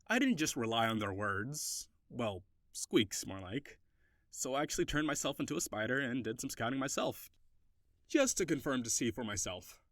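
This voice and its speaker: A man's voice: medium pitch, a bit bright, no room sound, clean studio sound. A man playing a chatty, scheming and slyly devoted elf druid in an audio roleplay, rambling and coaxing as he addresses his companion. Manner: rambling, eager, coaxing, self-correcting.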